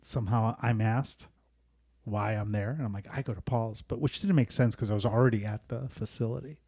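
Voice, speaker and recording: A man hosting a casual late-night comedy podcast, talking in a soft, soothing, rambling way and laughing easily. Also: lowish voice, a little fast, dry recording, clean studio sound. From United States. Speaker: podcast host.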